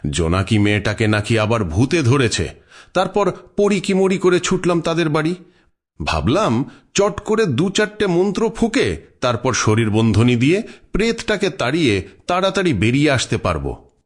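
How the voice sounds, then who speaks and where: A man playing a bold, protective village exorcist father in a horror cartoon, theatrical, emphatic and husky. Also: on the high side, very animated, normal speed. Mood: dramatic recounting. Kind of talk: dramatised horror cartoon dialogue.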